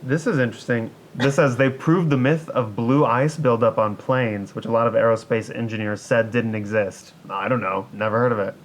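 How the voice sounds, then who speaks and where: A man's voice: normal speed, hardly any pauses. A man co-hosting a podcast in casual banter, a bit hesitant as he thinks aloud. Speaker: podcast co-host. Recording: steady background noise with low rumble.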